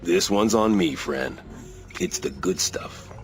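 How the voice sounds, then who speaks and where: An actor playing a friendly, gossipy bartender in a video game, with a deep gravelly voice and a slow, confiding delivery. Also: male voice, lively intonation, faint music behind, exaggerated voice. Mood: sympathetic, chummy. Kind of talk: video game cutscene acting.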